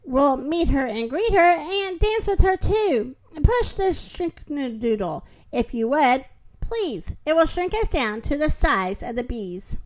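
A woman's voice: high-pitched, sing-song, takes it slowly, full character voice. A woman voicing a playful male cat in a hat, reading his lines with a bouncy rhyming delivery.

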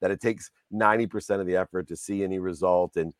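A man's voice: sits low, fairly even intonation, fast talker, no echo, studio quality. A man hosting a casual interview, talking in an enthusiastic, rambling way and heaping praise on his guest. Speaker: podcast host.

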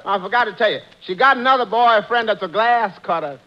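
A droll tavern worker in an old radio comedy, with a high, forward, bright voice. He cracks dry, deadpan jokes, on a muffled 1940s recording. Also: a little fast, slight background noise. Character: dry, droll tavern employee, wry underdog man.

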